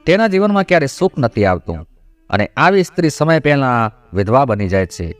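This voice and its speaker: A man's voice: medium pitch, sing-song, a little fast, no echo, soft background music, close to an everyday voice. A man voicing a solemn male god who instructs his consort in a devotional story. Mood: solemn, instructive.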